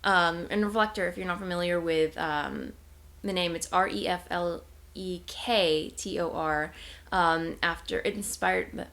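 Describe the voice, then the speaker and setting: A woman's voice: on the low side, unhurried, fairly bright tone. A young woman hosting a knitting video podcast, chatting casually and rambling with self-corrections and small laughs. She sounds congested and a bit nasal, and tired.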